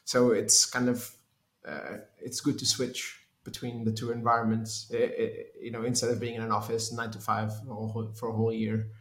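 A man's voice: on the low side, flat delivery, normal speed, hardly any pauses, a bit bright, echoey room. A man from Portugal in his thirties, a race engineer answering interview questions in a hesitant way with many restarts. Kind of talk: conversational interview.

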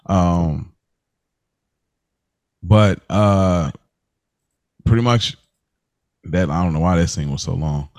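Low-pitched American man, casual podcast host. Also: fairly even intonation, slow, long pauses, darkish tone. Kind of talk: casual TV recap chat.

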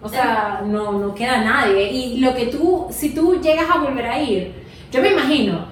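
High echoey young woman co-host. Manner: eager agreement, quick interjections. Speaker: podcast co-host. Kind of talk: casual podcast chat. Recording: echoey room, far from mic, faint noise.

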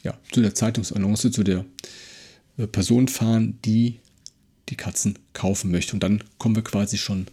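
A man's voice: lowish voice, fairly even intonation, normal speed, mellow, breathy edge. A man co-hosting a podcast, casually analysing a story while feeling for his words. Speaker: podcast co-host. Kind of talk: casual discussion and analysis of an audio drama plot.

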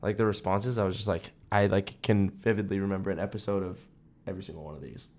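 A man's voice: deep voice, steady tone, fast, darkish tone. A young adult American man chatting casually as a podcast co-host, slangy and emphatic, often repeating himself for emphasis. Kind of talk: casual chat.

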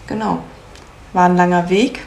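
A woman in her twenties from Munich, co-hosting a podcast in casual chat, chatty and quick to laugh, with frequent filler sounds. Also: fairly low pitch, steady tone, a little mellow. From Munich, Germany. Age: 20s. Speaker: podcast co-host. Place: at home in Munich. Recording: echoey room with faint noise.

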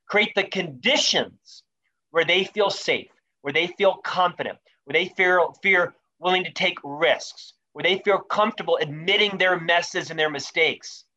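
A man's voice: high voice, bright, forceful tone, highs cut off. A man in his fifties, a leadership author, talking as an interview guest with emphatic, carefully enunciated speech that stacks up lists of questions and points. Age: 50s. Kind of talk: animated interview answers.

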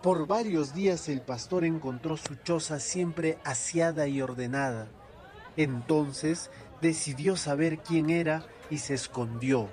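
Male storyteller, fairly high voice, slow measured delivery of folk narration. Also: darkish tone. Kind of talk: scripted folk tale narration.

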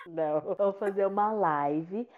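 A woman's voice: mid-range voice, unhurried, very noisy. A woman in her late twenties co-hosting a podcast, chatting casually in a friendly, teasing and reassuring way. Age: late 20s. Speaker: podcast co-host. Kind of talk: casual chat. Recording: dull, lo-fi sound.

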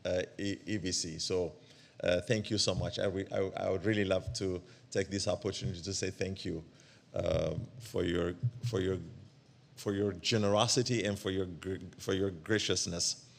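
A man from Ethiopia, preaching as a guest in church, halting and full of fillers and restarts. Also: deep voice, fairly even intonation, gravelly. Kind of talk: church sermon.

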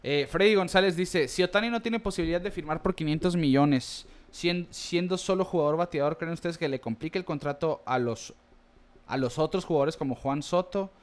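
A man co-hosting a casual baseball podcast, reading listener comments with quick asides. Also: on the high side. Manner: chatty, reads comments aloud with quick asides and laughs.

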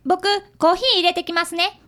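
Casting: polite schoolboy apprentice detective, played by a woman, piping high and bright, earnest radio-drama delivery. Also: a little fast. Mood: helpful, earnest. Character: eager, polite fifth-grade boy, an apprentice detective. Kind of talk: audio drama acting.

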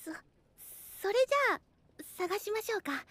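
Very high-pitched, gentle schoolgirl, acted by a woman. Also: normal speed, no echo. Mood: flustered. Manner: gentle, reassuring, feminine phrasing. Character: devoted, protective schoolgirl, shy about her love. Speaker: voice actor. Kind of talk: Japanese anime-like voice drama acting.

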